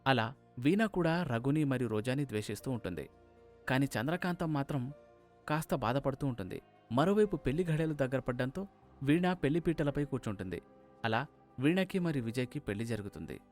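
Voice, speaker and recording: Guy narrating a moral story, brisk pace, mid pitch, light music underneath. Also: lively intonation, darkish tone.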